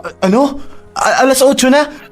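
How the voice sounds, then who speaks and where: Devoted young boyfriend, gentle and giggly, very high voice, played by a man. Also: normal speed, soft background music. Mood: tender. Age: young adult. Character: sweet, devoted young engineering student boyfriend. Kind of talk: Tagalog audio drama acting.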